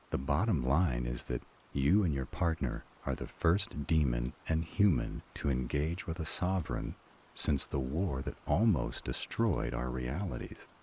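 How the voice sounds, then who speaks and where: A man performs an extremely deep-voiced demon elder for an audio roleplay. He is weary but firm, arguing in measured, grave phrases. Rain ambience runs faintly behind him. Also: a little mellow, slight background noise, exaggerated voice. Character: powerful male demon elder, council member, weary and firm. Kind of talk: dramatic audio roleplay acting.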